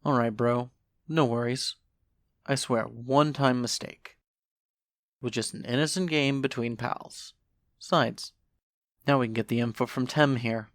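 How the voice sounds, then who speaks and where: A woman's voice: a bass voice, steady tone, unhurried, pauses often, no echo, studio quality, pitched below the performer's own voice. A woman voicing a lazy, joking skeleton brother with a casual, nonchalant drawl in a fan audio story. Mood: breezy, joking. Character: laid-back, joking skeleton older brother, a lazy swindler.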